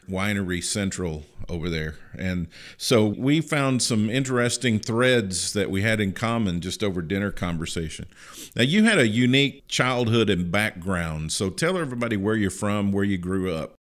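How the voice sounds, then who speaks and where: Upbeat low-voiced male podcast host. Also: expressive, moderate pace. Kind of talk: podcast interview.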